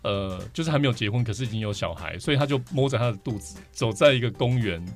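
A man's voice: mid-range voice, lively intonation, fast talker, soft background music. Taiwanese man telling stories as a guest. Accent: Taiwanese Mandarin. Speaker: podcast guest, vinyl record collector. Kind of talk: casual interview storytelling.

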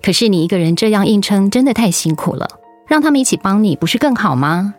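An actress playing a caring, gently earnest cancer survivor advising a colleague in a radio drama. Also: female voice, medium pitch, expressive, fast talker, soft background music, lightly acted. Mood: gentle, encouraging. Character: caring older female colleague who survived breast cancer.

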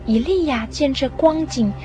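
Female radio drama narrator, higher voice, very unhurried storytelling, clear music bed. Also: dark tone.

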